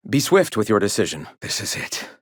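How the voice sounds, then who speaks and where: An actor playing a zealous philosopher who leads an anti-corporate rebel sect in a video game, speaking in lofty, measured, formal oratory. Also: male voice, on the low side, big pitch swings, breathy edge, dry recording, clean studio sound. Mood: resolute, rallying. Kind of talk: video game character dialogue.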